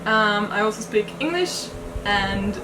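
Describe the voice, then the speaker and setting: A woman's voice: mid-range voice, lively intonation, unhurried, roomy sound, background noise. Swedish woman, candid and thoughtful. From Sweden. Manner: thoughtful, candid, light hesitations. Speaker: sailing vlog co-host. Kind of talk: casual Q&A chat.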